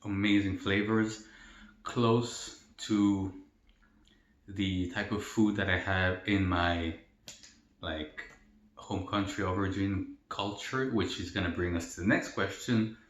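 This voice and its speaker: A young man from El Salvador talks through a casual question-and-answer vlog, relaxed and rambling with plenty of fillers. His voice sits fairly low. The room gives the recording a noticeable echo. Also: slow pace. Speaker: mukbang vlogger. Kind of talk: casual Q&A while eating. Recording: roomy echo.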